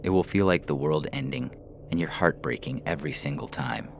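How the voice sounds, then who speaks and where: A man reading a literary short story aloud in measured, deliberate phrases with pauses. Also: a bass voice, creaky, a little hiss or hum. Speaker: story reader. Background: faint background music.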